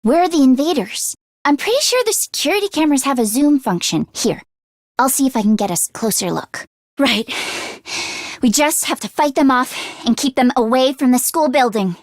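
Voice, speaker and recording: Very high-pitched, anxious teenage game girl. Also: expressive, normal speed, no room sound, studio quality. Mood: earnest, focused. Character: anxious, earnest teenage girl fighter, tech-minded. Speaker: voice actress. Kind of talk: video game voice acting.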